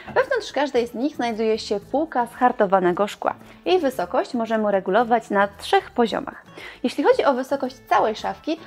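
A young woman with a fairly high voice walks viewers through furniture in a showroom, explaining each detail clearly as a scripted presenter. Faint music plays softly under her speech. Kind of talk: scripted product review.

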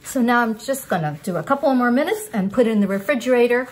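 Higher-pitched older woman demonstrating cooking. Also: animated, fast. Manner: unscripted, talking through each step while working with her hands. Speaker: cooking instructor. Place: home-style kitchen, indoors at a counter. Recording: clear kitchen clatter and background noise.

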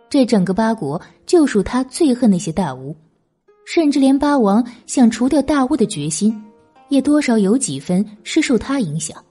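A woman reads a novel aloud in a dramatic narrating style. Her voice is a little high and moves expressively, with a husky edge. Faint music plays underneath. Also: mellow. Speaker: audiobook narrator.

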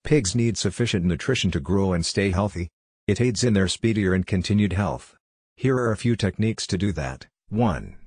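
Deep, husky male voice-over narrator. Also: lively intonation, normal speed, dry recording, studio quality.

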